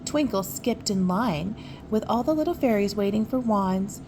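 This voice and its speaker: A woman reading a picture book aloud to young children, with gentle, admiring, chatty asides. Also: mid-range voice, expressive, normal speed, background noise.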